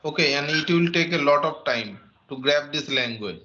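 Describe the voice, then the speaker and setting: A man with an Indian English accent, native in Santali, talks slowly through English practice and fills his pauses with "uh" and repeated words. His voice sits fairly high and sounds bright and forceful. The recording is muffled and lo-fi. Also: lively intonation. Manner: hesitant, many fillers and repeated words. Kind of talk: casual English conversation practice. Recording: muffled lo-fi audio.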